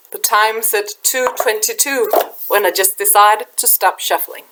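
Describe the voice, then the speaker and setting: A middle-aged woman from Denmark with a Danish accent, speaking English softly at her webcam, halting and repeating words as she gropes for them. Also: mid-range voice, a little hiss or hum, rough recording. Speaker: spiritual channeler. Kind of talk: casual spiritual vlog talk. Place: small room indoors. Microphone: webcam in front of her.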